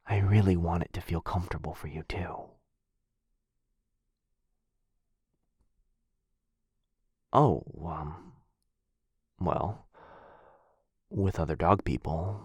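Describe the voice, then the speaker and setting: A man playing a shy, affectionate young dog-person roommate in a boyfriend ASMR roleplay, speaking in a soft, halting, flustered way with a breathy, husky voice. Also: deep voice, dry recording, clean studio sound, exaggerated voice. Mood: awkward, hesitant.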